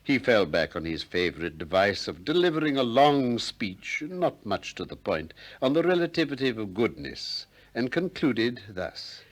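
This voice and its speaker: An actor with a British accent playing a shrewd, politely persistent ancient Greek philosopher in a 1960s radio play, with measured, probing delivery. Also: male voice, on the low side, big pitch swings. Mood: wry narrating. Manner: probing, courteous, measured questioning.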